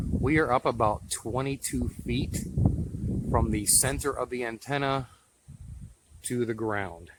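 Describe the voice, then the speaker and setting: A man's voice: medium pitch, background noise. An American man giving a casual show-and-tell narration as a hobbyist video host.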